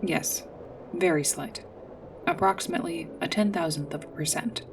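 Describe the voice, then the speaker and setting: A woman playing a polite android guide in a sci-fi ASMR roleplay, speaking in a formal, precise, measured way. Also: low voice, expressive, recorded from a distance, noisy background. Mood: formal, helpful. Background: music.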